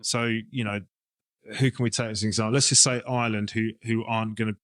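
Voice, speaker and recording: A man's voice: on the low side, fairly even intonation, fast, fairly bright tone, no room sound. A man with an English accent talking casually as an interview guest, explaining his ideas informally as he thinks them through. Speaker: rugby coach and club director, interview guest.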